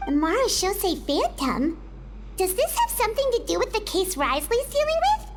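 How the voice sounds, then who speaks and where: A woman voicing a tiny, excitable, squeaky girl companion in a video game. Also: normal speed, loud music behind the voice, heavily acted. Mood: curious.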